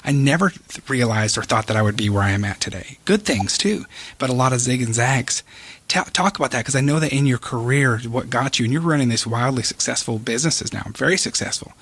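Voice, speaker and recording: A man's voice: medium pitch, lively intonation, fairly quick, fairly bright tone, breathy edge, no echo. A middle-aged American man hosting a casual interview, rambling a little with stop-start phrasing. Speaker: podcast host.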